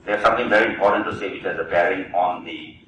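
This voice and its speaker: A man serving as counsel, addressing judges politely and haltingly in a formal hearing. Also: low-pitched, sharp tone, slight background noise. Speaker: counsel at a tribunal. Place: large courtroom. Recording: very echoey courtroom, from far off.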